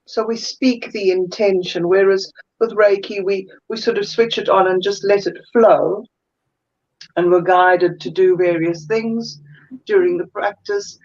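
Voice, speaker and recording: A South African woman talks unhurriedly as a guest healer in a relaxed interview, stopping often with fillers while she searches for the right word. Her voice has a mid pitch, and the audio sounds a little dull and lo-fi. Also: unhurried. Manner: thoughtful, searches for words, many fillers. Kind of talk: casual interview chat.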